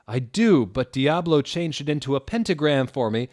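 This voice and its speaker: Man acting an anguished teen vampire boy, pitched up. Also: animated, moderate pace, darkish tone, no echo, studio quality, lightly acted. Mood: earnest. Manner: wailing, overdramatic. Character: anguished, melodramatic teenage vampire boy wizard.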